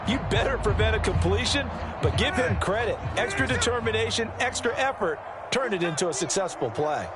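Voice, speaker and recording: A man's voice: high voice, animated, fairly quick, over loud music. A man playing a chatty, upbeat football color analyst in a video game, making emphatic, repetitive points. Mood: analyzing. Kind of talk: sports analysis commentary.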